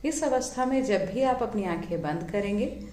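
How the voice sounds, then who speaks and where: A woman leading a guided meditation, giving soothing, measured instructions with drawn-out pauses between phrases. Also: mid-range voice, lively intonation, darkish tone, echoey room.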